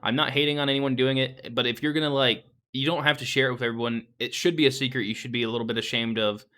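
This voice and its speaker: Blunt, bright-voiced young man. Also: mid-range voice, steady tone, quick pace. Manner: blunt, opinionated, swears freely. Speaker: podcast co-host. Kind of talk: casual anime review chat.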